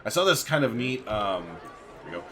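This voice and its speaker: Male let's-play host: informal, chatty, highly varied intonation, thinking aloud, slight background noise. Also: sing-song, moderate pace, a bit bright. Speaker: gaming video host. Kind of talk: casual let's-play commentary.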